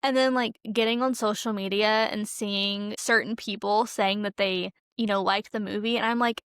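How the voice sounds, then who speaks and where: A woman's voice: medium pitch, steady tone, normal speed, fairly bright tone, smooth, no room sound. A woman co-hosting a podcast, chatting casually about a film in an informal, emphatic, slangy way. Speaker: podcast co-host.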